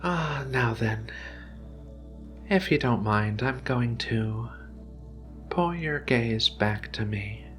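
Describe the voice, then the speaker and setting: Male ASMR roleplayer, gentle alien researcher: slow, soothing, reassuring delivery with music underneath. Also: medium pitch, animated. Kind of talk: soft-spoken ASMR roleplay.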